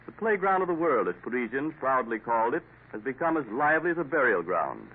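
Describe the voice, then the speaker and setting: A man narrating and announcing a 1950s radio drama in a measured, formal way. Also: mid-range voice, very animated. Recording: tinny old radio broadcast with faint hiss.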